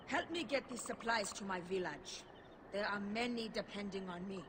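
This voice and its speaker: A hardy, determined rebel woman, voiced by an actress with a middling pitch. Her game dialogue sits in very loud ambient noise. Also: moderate pace, lightly acted. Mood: purposeful. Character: Jeska, tough, purposeful rebel woman sheltering villagers. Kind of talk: video game character acting.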